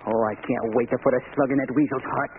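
An actor playing a vengeful, dying American hoodlum in an intense, slangy 1940s radio crime drama. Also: male voice, mid-range voice, steady tone, quick pace, lightly acted. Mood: desperate. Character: desperate, vengeful dying small-time gunman. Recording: noisy, muffled old radio broadcast.

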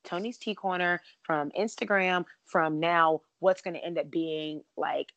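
A woman with a raspy, somewhat low voice hosts a casual podcast. She chats breezily and leans on "like" and "literally" a lot. Her voice comes through dry and close over a remote call. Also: steady tone, fairly bright tone, no echo. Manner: chatty, fast-talking with many "like" and "literally". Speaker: podcast host. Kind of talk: casual chat. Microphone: remote call, cutting out at times.

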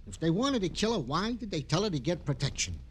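An actor playing a devoted, fussing police sergeant sidekick in an old radio crime drama, eager and colloquial. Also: male voice, on the high side, very animated, fast talker, breathy edge. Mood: excited, urgent. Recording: noisy old radio broadcast.

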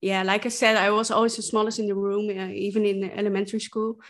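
A young woman with a Dutch accent talks easily as a podcast guest. She laughs often and fills her pauses with ums and uhs. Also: medium pitch, flat delivery. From Netherlands. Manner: laughs often, many fillers and restarts. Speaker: guest, clothing brand founder. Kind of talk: casual interview chat.

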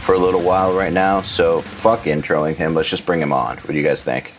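A man's voice: sits low, steady tone, a little fast, slight background noise. A man hosting a casual podcast chat, blunt and teasing, swearing freely. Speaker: podcast host.

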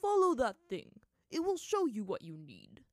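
An aged monkey monk spirit from a storybook, played by a woman, grumpy and aloof. He talks in curt, dismissive statements with a flat manner. The recording is dry and close, with no room around it. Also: on the high side, moderate pace, no echo, voice pushed up.

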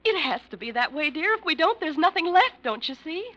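An actress playing a morally resolute, pleading wife in an old radio melodrama, urgent and impassioned. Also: female voice, high voice, very animated, fairly quick, a bit bright, highs cut off. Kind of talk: 1940s-50s radio melodrama acting.

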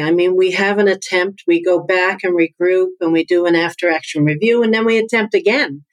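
Woman w/ newfoundland accent, lowish voice, earnest and reflective, dry recording. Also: dry recording, rough recording. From Newfoundland, Canada. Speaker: guest, business coach. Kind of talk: conversational interview.

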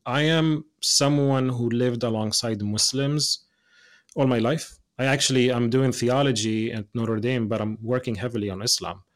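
A young man from the West Bank talks as an interview guest with an earnest, passionate drive. He often breaks off to restart his sentences and sometimes sinks into soft, quieter asides. His pitch sits in the middle range. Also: fairly even intonation, moderate pace. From West Bank, Palestine. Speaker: podcast guest.

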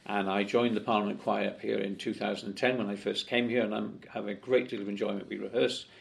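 A man's voice: lowish voice, little pitch movement, fast, vocal fry. An older man from Wales, talking as a guest in a conversational interview, chatty and full of fillers and restarts. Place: office in Parliament.

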